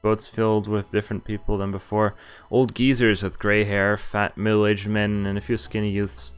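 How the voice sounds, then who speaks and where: A man's voice: lowish voice, flat delivery, moderate pace, smooth, faint music behind. Young man reading a game aloud. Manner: reads narration plainly, breaks off into wry, exasperated asides. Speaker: streamer reading a visual novel aloud. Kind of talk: casual reading with commentary.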